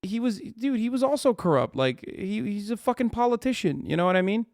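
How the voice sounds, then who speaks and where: A man's voice: high-pitched, very animated, brisk, darkish tone, dry recording, clean studio sound. A man streaming live, reacting to a news video with heated, profane rants and emphatic repetition.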